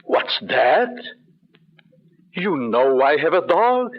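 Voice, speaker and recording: An actor playing a sinister, jealous doctor in an old-time radio drama, coldly courteous and insinuating. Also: male voice, on the high side, very animated, full character voice. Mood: veiled menace. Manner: slow, deliberate, insinuating, formal. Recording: dull, lo-fi old radio recording.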